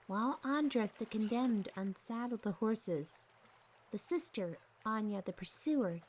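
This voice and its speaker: A woman's voice: fairly high pitch, lively intonation, a little slow, a little mellow, slight background noise. A woman narrating a scripted fantasy story.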